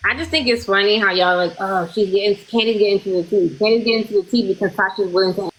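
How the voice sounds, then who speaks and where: A woman's voice: mid-range voice, fast talker, slight background noise, poor audio. A woman on a live-stream panel who speaks bluntly and sarcastically and swears.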